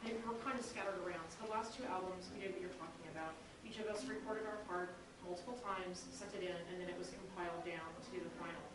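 Lady in the audience asking the panel stuff, talks quick, really echoey and far off. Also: on the low side, fairly even intonation. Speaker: audience member asking questions. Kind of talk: casual question to a panel. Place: convention panel room, indoors. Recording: very echoey, distant, audience noise behind.

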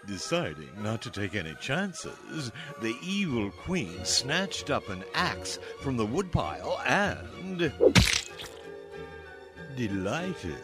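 A man narrating a fairy-tale radio play in a scripted storybook style, with dramatic, deliberate pauses. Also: medium pitch, sing-song, music in the background.

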